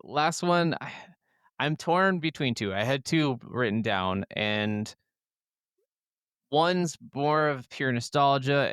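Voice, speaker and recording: A man with a smooth, clear voice talks casually as a podcast co-host. His speech is slangy and peppered with swearing. The sound is dry, with very little room around it. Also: mid-range voice, fairly bright tone, dry recording. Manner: profane, slangy, says "dude" often. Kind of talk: casual gaming podcast chat.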